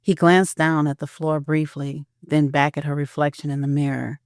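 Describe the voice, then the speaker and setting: A woman's voice: deep voice, level tone, normal speed, dry recording, clean studio sound. A woman narrating a scripted audio drama as storyteller.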